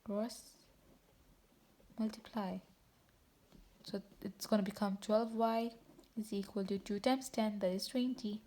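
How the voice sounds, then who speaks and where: A woman's voice: medium pitch, mellow. Chatty woman teaching math. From Tanzania. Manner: chatty, self-correcting, talks to viewers directly. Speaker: math tutor. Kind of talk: casual teaching video.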